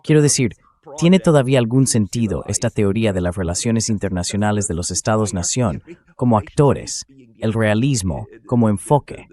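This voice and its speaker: A man's voice: lowish voice, expressive, normal speed, mellow. A man voicing an interviewer's questions in a translated, dubbed voice-over.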